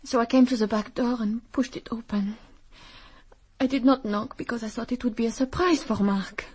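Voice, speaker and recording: A woman playing a sensitive, fragile rich young woman in a British radio drama, emotional and breathy. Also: a little high, fairly even intonation, normal speed, a little mellow. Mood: distressed. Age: young adult.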